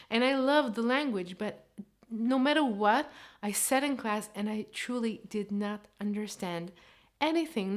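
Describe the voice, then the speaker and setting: Israeli woman teacher talking to camera. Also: on the high side. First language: Hebrew. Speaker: Hebrew teacher. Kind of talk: casual vlog talk to camera. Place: living room set.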